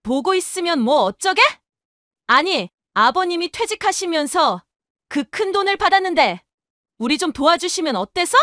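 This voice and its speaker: A woman's voice: very high-pitched, sing-song, normal speed, pushed and bright, no echo, clean studio sound, exaggerated voice. A woman playing a brazen, snippy young daughter-in-law who justifies herself with scorn in an audio drama. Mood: indignant, scornful. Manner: curt, snippy, sarcastic.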